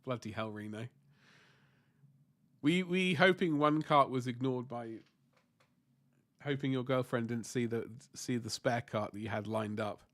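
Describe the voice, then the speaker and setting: A man's voice: mid-range voice, measured pace, pauses often. Stuffy man chatting on stream. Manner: rambling, self-correcting, mild swearing. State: stuffy and sore-throated from flu. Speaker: livestream host painting miniatures. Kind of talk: casual chat answering viewer comments.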